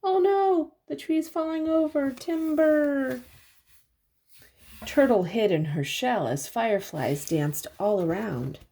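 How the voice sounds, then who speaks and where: An older woman reading a picture book aloud to young children, pausing to explain things and ask simple questions. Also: sits high. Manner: expressive, simple explanations and questions for little listeners.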